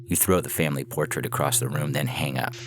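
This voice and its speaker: A man with an extremely low voice narrates short fiction. There is a heavy creak and gravel in his delivery, and music plays underneath as he reads. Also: fast. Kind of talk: scripted story narration in second person.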